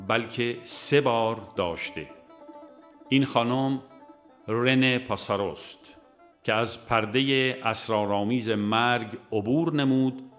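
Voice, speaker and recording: A man reads a scripted audiobook in a measured, formal manner. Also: on the low side, fairly bright tone. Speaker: audiobook narrator.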